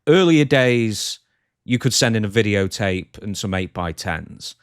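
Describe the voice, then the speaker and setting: A man's voice: lowish voice, lively intonation, a little slow, clean tone, no echo, clean studio sound. A man hosting a podcast, asking long, clarifying questions in a conversational way. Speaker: podcast host interviewing. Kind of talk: casual interview.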